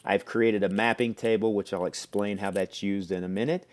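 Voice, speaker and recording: A man presenting a software demo, explaining step by step in a conversational way. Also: lowish voice, steady tone, moderate pace. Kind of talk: explanatory product demo walkthrough.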